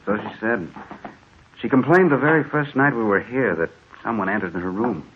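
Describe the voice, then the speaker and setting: An actor playing an earnest, anxious male experimenter in a 1940s radio drama, speaking with intense, deliberate dramatic delivery. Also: on the low side, close to an everyday voice. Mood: subdued. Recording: muffled, hissy 1940s radio recording.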